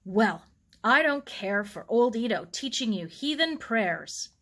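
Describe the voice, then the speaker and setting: A woman voicing a gruff, blustery, disapproving middle-aged uncle in a story. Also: fairly high pitch, lively intonation, slow pace, fairly bright tone, full character voice. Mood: indignant.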